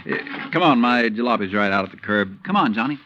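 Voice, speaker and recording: An actor playing a breezy, joking branch manager. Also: male voice, on the low side, expressive, a little fast, loud music behind the voice, close to an everyday voice. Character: easygoing, joking male insurance branch manager, adult. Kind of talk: 1950s radio drama acting. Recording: muffled old radio recording, faint hiss.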